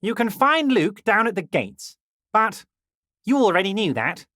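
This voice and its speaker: An actor playing a scheming middle-aged union boss who flatters in a smug, drawn-out way, in a video game. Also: male voice, sits high, no room sound, clean studio sound, heavily acted. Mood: oily flattering. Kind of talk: video game character dialogue.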